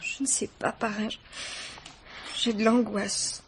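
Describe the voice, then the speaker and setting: An actress playing an anxious, lovelorn eighteen-year-old niece with a plaintive manner in a French radio mystery play. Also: female voice, mid-range voice, flat delivery, measured pace, slight background noise, lightly acted. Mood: anguished. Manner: plaintive, wounded.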